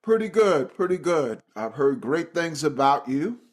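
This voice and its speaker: Male podcast host, higher-pitched with a lively, swinging delivery; reads intros, then interviews haltingly. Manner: halting, restarts when asking questions.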